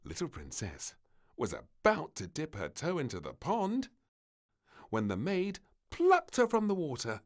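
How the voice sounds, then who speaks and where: A man reading a scripted children's story as narrator, with an expressive, dramatic delivery. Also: on the high side, measured pace. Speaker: storybook reader.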